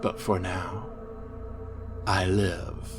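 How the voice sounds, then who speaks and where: Gothic horror audio tale: insane male inmate, acted by a male narrator, intense, menacing, unhurried, music behind. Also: low voice, level tone. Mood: ominous. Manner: fervent, ominous, swinging from hushed to ranting.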